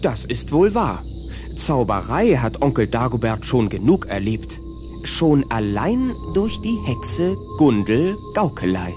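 A man narrating a scripted cartoon adventure as storyteller, in a measured, dramatic way. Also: mid-range voice, sing-song, music in the background. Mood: storytelling.

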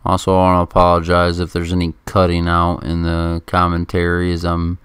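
Very deep-voiced casual male gamer. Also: completely flat delivery, a little fast, fairly bright tone, smooth, no room sound, studio quality. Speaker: gameplay commentator. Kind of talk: casual video game commentary. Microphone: microphone held very close. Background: game music.

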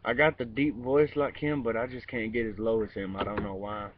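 A man's voice: fairly low pitch, fairly even intonation, brisk, distant mic, narrow, tinny audio, crowd noise. A young man in his twenties chatting casually outdoors in a wooded yard, recorded on a webcam while wearing headphones. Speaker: amateur singer. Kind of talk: casual vlog with sung cover. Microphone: webcam at close range, wearing headphones.

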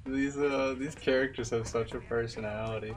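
A man's voice: mid-range voice, steady tone, moderate pace. A young man, a game streamer, giving casual speedrun commentary. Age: young adult. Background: game music.

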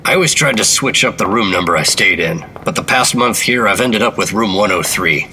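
An actor playing a wary, terse 1950s secret agent in a noir radio drama, with a deadpan, clipped delivery. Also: male voice, lowish voice, fairly quick, slight background noise, low-quality recording.

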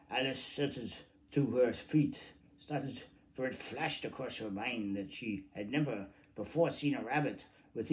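A middle-aged man reads a children's classic aloud in a mellow, relaxed tone. His delivery is informal and halting, as he stumbles on phrases and adds little muttered asides. It is recorded on a phone in a room at home. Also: medium pitch. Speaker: amateur storybook reader. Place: small room at home, indoors.

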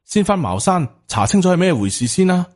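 A man's voice: sits high, sing-song, brisk, darkish tone, voice pushed up. A man voicing an earnest, respectful young Taoist disciple who pleads and reasons in an audiobook. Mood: earnest, worried.

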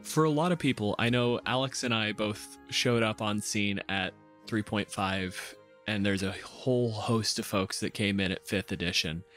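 A man's voice: lowish voice, moderate pace, a bit bright, faint music behind. A man hosting a casual podcast in a sardonic, grumbling, exasperated manner. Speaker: podcast host.

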